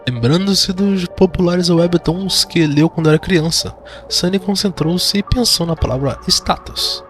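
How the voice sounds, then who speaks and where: A man's voice: high-pitched, a little slow. Brazilian male narrator over background music. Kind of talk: dramatic scripted audiobook narration with voiced inner thoughts.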